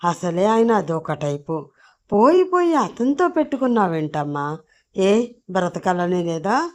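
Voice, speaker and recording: A woman's voice: medium pitch, sing-song, measured pace, darkish tone. A woman voicing a nervous male office worker who scolds a newcomer in a raised, urgent tone. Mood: scolding.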